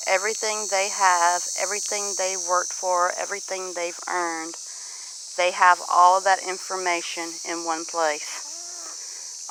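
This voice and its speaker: A woman with a Southern US accent chatting casually in a plainspoken, folksy way, as a homestead vlogger talking to viewers. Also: lowish voice. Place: outdoors on a farm among animals. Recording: outdoor farm noise, animals and insects. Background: faint animal and insect sounds.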